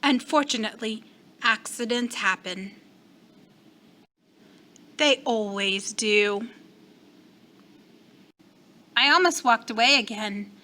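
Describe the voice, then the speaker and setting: A woman teacher reading a storybook aloud to young children with deliberate, expressive phrasing. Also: a little high.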